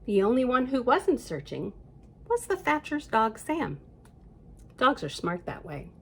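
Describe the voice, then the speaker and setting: Animated, higher-pitched woman reading to children. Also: measured pace, breathy edge. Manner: expressive, conversational, with spoken asides to young listeners. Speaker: librarian storyteller. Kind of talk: read-aloud children's story with chatty asides.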